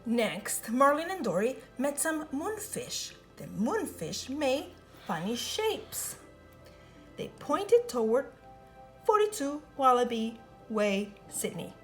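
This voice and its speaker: A woman reading a children's picture book aloud in an expressive, sing-song storytelling manner. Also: high voice, slow, faint music behind. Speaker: storybook reader.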